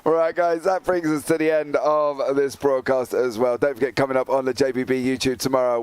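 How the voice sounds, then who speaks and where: A man's voice: mid-range voice, darkish tone, clear, resonant tone, dry recording. A man hosting a live sim racing broadcast, chatting and joking with guests as commentator. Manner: chatty, jokey. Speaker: race commentator and host.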